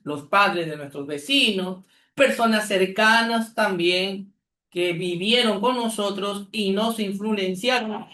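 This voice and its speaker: A pastor delivers an exhorting sermon. His delivery is slow and drawn out, with long deliberate pauses. His voice rings through an echoey church hall. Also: high voice, bright, forceful tone. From Peru.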